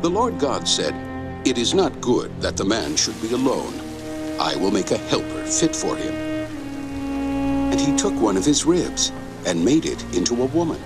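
A man plays a solemn storyteller narrating scripture for an exhibit. The pitch moves very widely, and music plays behind. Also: big pitch swings, normal speed, close to an everyday voice. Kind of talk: dramatic scripture reading.

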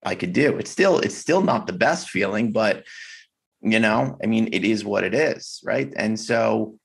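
Deep gravelly-voiced man, halting and reflective. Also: lively intonation. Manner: reflective, halting, many fillers and restarts. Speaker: podcast guest. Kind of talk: candid conversation. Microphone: video call.

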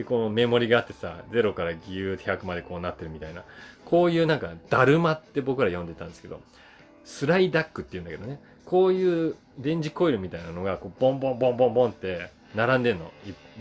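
A man in his forties casually reminiscing to camera in an animated, conversational way, acting things out with sound words. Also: medium pitch. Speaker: voice actor and YouTuber telling memories. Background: soft guitar music.

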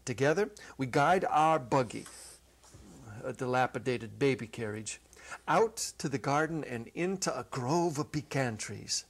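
A middle-aged man narrating a literary short story as a dramatic reading, with expressive, measured storytelling. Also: mid-range voice, slightly rough. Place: indoor studio set. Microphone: lapel.

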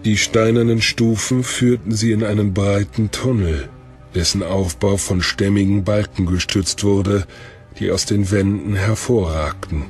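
A man's voice: lowish voice, steady tone, unhurried, darkish tone, soft background music. A man delivering scripted narration for an audio drama.

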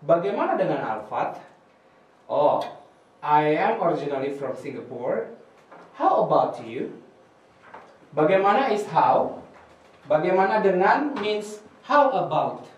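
A young man with Indonesian as his first language teaches a beginners' class, explaining slowly and patiently and repeating words for the students. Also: fairly high pitch, sing-song, far from the mic, dull audio. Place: small room with projector screen. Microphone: far camera. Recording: echoey room recorded from afar.

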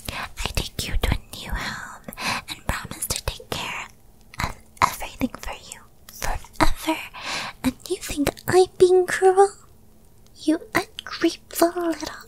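A woman's voice: very high-pitched, slow pace, pauses often, darkish tone, pitched above the performer's own voice, poor audio. A woman playing a whispering, possessive witch girlfriend. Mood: indignant. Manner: breathy, cooing, coaxing yet controlling. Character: possessive, lovestruck young female yandere witch girlfriend. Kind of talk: whispered girlfriend ASMR roleplay.

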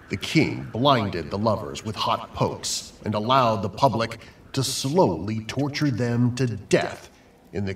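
A man telling an old legend as dramatic scripted narration. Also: fairly low pitch. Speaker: storyteller. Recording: echoey, distant, faint ambient noise.

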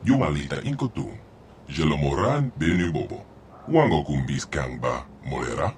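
Video game alien, invented gibberish, stubborn, emphatic, animated swoops, faint background noise. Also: male voice, extremely low pitch, normal speed, heavily acted. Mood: insistent. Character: stubborn alien guide babbling in invented tongue.

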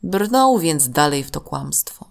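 A woman narrating a scripted children's story in a measured, expressive manner. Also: sits low, vocal fry.

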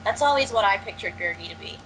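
A young woman speaks in a wry, teasing way, laying out sharp opinions in a casual film chat. She talks on a video call from a room at home, her voice at a middle pitch for a woman. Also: lively intonation, fast talker, slight background noise, rough recording. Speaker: podcast co-host.

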